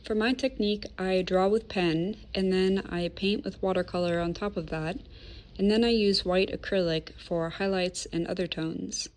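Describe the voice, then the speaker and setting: A young American woman from California talks to the camera in a relaxed, friendly way, now and then reaching for an "um." Her voice sits a little low for a woman, and she sounds like an artist sharing her tools in a casual promotional clip. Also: normal speed. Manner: friendly, conversational, with occasional fillers. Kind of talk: casual sponsored testimonial.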